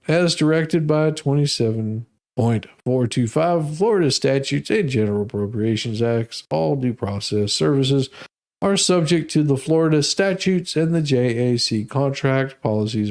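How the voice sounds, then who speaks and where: A man's voice: mid-range voice, darkish tone. An American man reading documents aloud as a host, with casual, sarcastic asides and a folksy manner.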